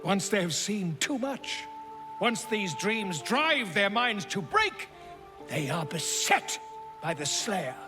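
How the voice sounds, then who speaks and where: An actor playing a flamboyant, self-important bard in a video game, speaking in a florid, theatrical and chummy manner. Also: male voice, sits high, sing-song, takes it slowly, over music, full character voice. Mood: ominous, dramatic. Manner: theatrical, florid, grandiloquent storytelling. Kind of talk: video game character acting.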